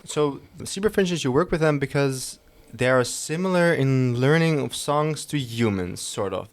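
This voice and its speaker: A young man hosting a university podcast, asking questions haltingly and repeating words as he goes. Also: mid-range voice, dry recording. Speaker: podcast host. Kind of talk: interview hosting.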